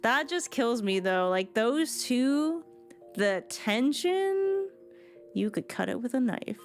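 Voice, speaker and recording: A woman's voice: fairly high pitch, sing-song, slow pace. A woman game streamer giving casual reaction commentary on cutscenes, thinking aloud as she goes. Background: game music.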